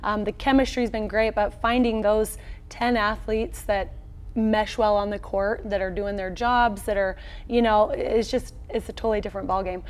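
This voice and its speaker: Woman, thirties, American accent, mid-range pitch, coach in interview, conversational, upbeat, plain-spoken. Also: fairly even intonation, moderate pace. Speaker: volleyball head coach, interview guest. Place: small indoor locker-room set.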